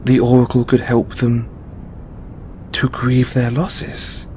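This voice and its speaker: A man playing a stressed, tender god confiding in a lover in an intimate audio roleplay, speaking haltingly with stammers and sighs. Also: monotone, mellow, close to an everyday voice. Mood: hopeful, tender. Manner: hesitant, halting, stammering and sighing. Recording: background noise with low rumble.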